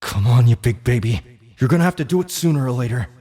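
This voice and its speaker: Man voicing a tense, animated teenage boy. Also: mid-range voice, fast talker, lightly acted. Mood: self-goading.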